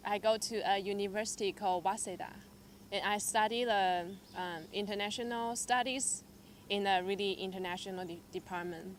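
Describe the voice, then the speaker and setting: A woman's voice: medium pitch, moderate pace, a little hiss or hum. Young woman from Taiwan telling her story. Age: young adult. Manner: hesitant, filler-heavy storytelling with lively quoted asides. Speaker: church member sharing testimony. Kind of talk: personal testimony.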